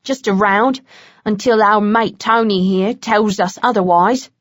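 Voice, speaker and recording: A woman's voice: medium pitch, a little slow, no room sound, studio quality, lightly acted. A woman voicing a cool, menacing male vampire with a pleasant, mocking manner. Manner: pleasant but threatening, mocking.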